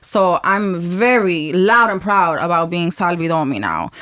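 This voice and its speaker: A woman from New in her thirties, chatting as a guest in a lively way, laughing often and mixing in Spanish words. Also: fairly low pitch, unhurried. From New York (Dyckman, Bronx area). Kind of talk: casual chat. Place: recording studio.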